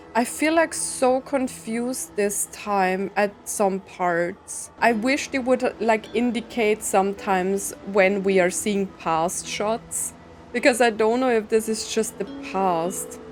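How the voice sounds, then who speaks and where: A woman's voice: a little high, slow pace, faint music behind. A woman streaming her reaction to a story game, musing aloud in puzzled questions and reading lines out.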